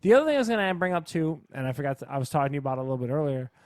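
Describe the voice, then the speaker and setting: Male podcast co-host, fairly high voice, dry close sound, slangy and opinionated casual talk. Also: animated, racing, dry recording. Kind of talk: casual chat.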